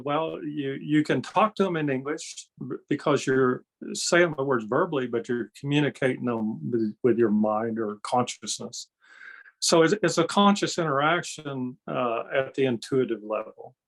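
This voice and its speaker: A man's voice: medium pitch, gravelly, low-quality recording. An older man with a mid-range voice talks as a guest on an interview show. He explains in a folksy, conversational way, slipping into anecdotes and often adding small tags to check he is understood. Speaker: interview guest, energy healer and kung fu master.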